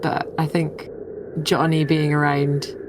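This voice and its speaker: A woman's voice: low voice, flat delivery, slow pace. A woman playing a tabletop role-playing game, casually describing what her character does. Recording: heavy noise on the recording.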